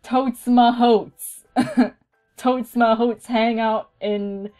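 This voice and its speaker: A woman's voice: a little high, fairly even intonation, extremely slow, warm tone, faint music behind. A woman reading scripted drama lines as an amateur voice actor, breaking off into playful asides.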